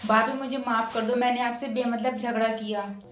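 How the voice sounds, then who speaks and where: Woman acting a high-voiced, petulant younger daughter-in-law. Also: fairly even intonation, moderate pace, close to an everyday voice. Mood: remorseful. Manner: argumentative, petulant. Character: vain, boastful, defiant younger daughter-in-law. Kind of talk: Hindi family moral-story skit. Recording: roomy echo with music behind.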